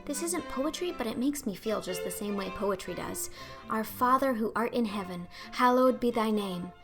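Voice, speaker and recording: An earnest, imaginative orphan girl acted by a woman pours out her thoughts in a storybook reading. The voice is high and effusive, rambling along dreamily, with music playing underneath. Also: animated, moderate pace. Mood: rapt. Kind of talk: audiobook character acting.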